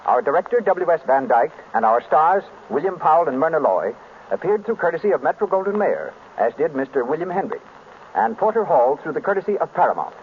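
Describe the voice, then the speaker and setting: A man with an American accent announces formally on a 1930s radio show. His voice is fairly high and very varied in pitch as he reads his script. The sound is tinny and noisy, like an old broadcast. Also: big pitch swings, a little fast.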